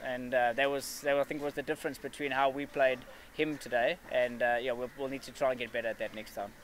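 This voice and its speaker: Fast-talking man at an outdoor press interview. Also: mid-range voice, steady tone, slight background noise. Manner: measured, many fillers and restarts. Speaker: cricket player at a press conference. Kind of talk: post-match press interview. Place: outdoor press area with a backdrop.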